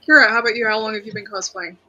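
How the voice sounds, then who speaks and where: A woman's voice: a little high, steady tone, very fast, bright, forceful tone, lo-fi sound. A woman hosting a podcast, upbeat and encouraging as she brings guests in. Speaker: host.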